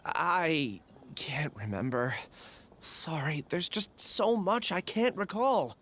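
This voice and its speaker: A hesitant, apologetic amnesiac researcher. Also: male voice, a little high, lively intonation, slow pace, fairly bright tone, slight background noise. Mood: troubled. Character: confused young male researcher with lost memories. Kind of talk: game voice acting.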